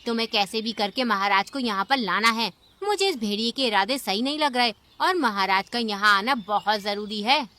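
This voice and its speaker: A woman's voice: on the high side, lively intonation, moderate pace, few pauses, bright, forceful tone. A woman voicing a wise, watchful auntie bird in a children's cartoon, speaking in a firm and reassuring way. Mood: urgent, firm. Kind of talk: Hindi children's cartoon voice acting.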